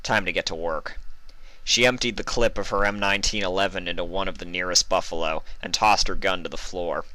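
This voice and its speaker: Man, low voice, bright forceful tone, dry close recording, dramatic storybook narration. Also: steady tone, brisk, no echo, poor audio. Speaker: fan fiction reader.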